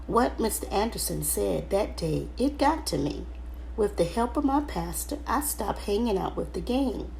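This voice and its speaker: A woman reading a children's book aloud in first person, halting now and then over the words. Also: mid-range voice, animated, gravelly, darkish tone, a little hiss or hum, poor audio. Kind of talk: storybook read-aloud narration, first person.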